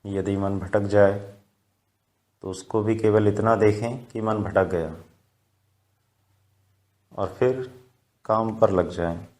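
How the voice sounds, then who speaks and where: A man guiding a meditation in Hindi with calm, measured instructions. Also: lowish voice. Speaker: meditation guide.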